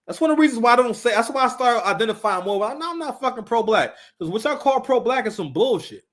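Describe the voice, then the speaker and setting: A man's voice: extremely high pitch, very animated, fast, a bit bright. A man in his thirties giving casual, unscripted live commentary, talking emphatically, repeating his phrases and swearing freely. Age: 30s.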